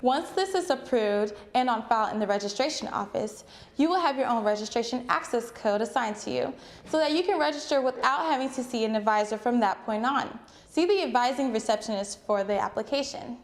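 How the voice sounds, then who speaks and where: A woman's voice: on the high side, a little fast. A woman presenting scripted information for college students in an instructional, direct way.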